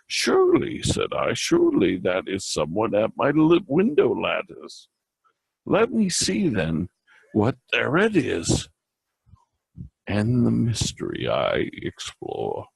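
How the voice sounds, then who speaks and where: A man giving a dramatic recitation of a poem, theatrical and halting, with occasional stumbles. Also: on the low side, wildly swooping pitch, gravelly, slightly rough, no echo. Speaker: reader.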